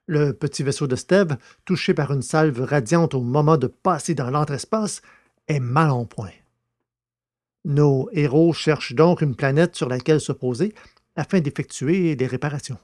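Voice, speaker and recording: A man with a somewhat high-pitched voice hosts a podcast and narrates audio fiction. He speaks in a scripted presenting style, as if reading from a prepared text. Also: unhurried, darkish tone.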